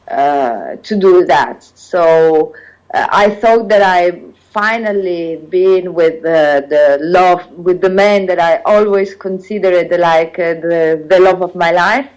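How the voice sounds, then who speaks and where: A woman with an Italian accent, telling her own story as an interview guest, pausing often to search for English words. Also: fairly low pitch, darkish tone, clean tone, low-quality recording. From Italy. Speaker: guest sharing personal experience. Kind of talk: earnest personal account in interview.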